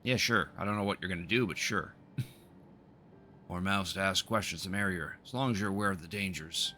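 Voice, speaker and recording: Male streamer, deep and forceful, casually narrating on-screen game dialogue, soft music underneath. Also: brisk. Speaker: livestreamer playing a game. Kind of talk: reading game dialogue aloud with casual asides. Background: video game music.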